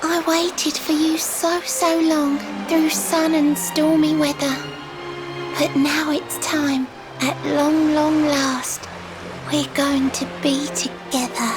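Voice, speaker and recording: High-voiced, lovelorn mermaid. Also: female voice, flat delivery, takes it slowly. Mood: tender longing. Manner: lilting, sing-song, dramatic delivery. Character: lovelorn, wistful young mermaid speaking in rhyming verse. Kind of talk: theatrical video game voice acting in rhyme. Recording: music under the voice.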